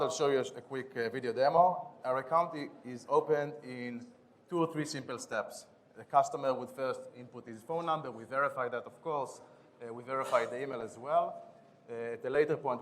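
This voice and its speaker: A man from Israel with an Israeli accent, giving a quick, businesslike conference pitch and product demo as a startup founder, with some restarts. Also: fairly bright tone, slight background noise. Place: conference stage.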